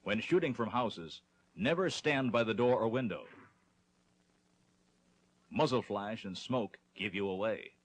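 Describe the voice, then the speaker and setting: A man with a husky voice narrating a training film in a scripted, instructional style. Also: fairly low pitch, lively intonation, measured pace, long pauses.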